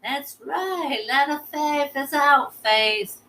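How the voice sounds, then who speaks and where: Childlike woman with very high voice. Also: slow, pushed and bright. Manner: playful, silly, childlike. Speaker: co-host, host's mother. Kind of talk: casual playful banter.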